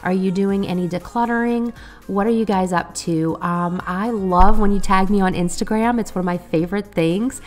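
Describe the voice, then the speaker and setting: A woman's voice: medium pitch, moderate pace, soft background music. A woman in her thirties narrating her cleaning vlog in a friendly, upbeat way while getting over a cold. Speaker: cleaning vlogger.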